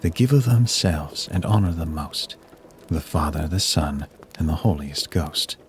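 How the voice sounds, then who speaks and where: A man narrating a rhymed Christmas story in verse with a measured, solemn delivery. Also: a bass voice, lively intonation, a little mellow, a little hiss or hum. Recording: music bed under the voice. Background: music.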